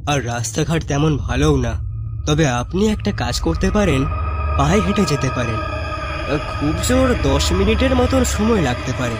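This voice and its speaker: A man's voice: on the high side, big pitch swings, moderate pace, over music. A man playing an older rustic tea-stall keeper in a horror cartoon, cautioning in a folksy way. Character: rustic older tea-stall uncle, cautious, well-meaning, warning travellers. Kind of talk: animated story acting.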